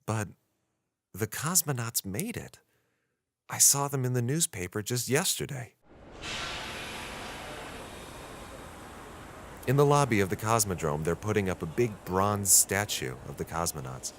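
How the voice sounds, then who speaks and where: Casting: frustrated, brooding American reporter, 1960s radio drama, deep-ish voice, reflective narration, ambient noise behind. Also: male voice, expressive, normal speed. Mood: brooding, discouraged. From United States. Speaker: reporter narrating an audio diary.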